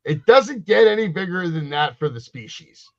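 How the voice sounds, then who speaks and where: A man in his forties co-hosting a casual wrestling podcast, ranting loudly and slipping into mock wrestler catchphrases. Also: sits high, animated, normal speed, lo-fi sound. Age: 40s. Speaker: podcast co-host.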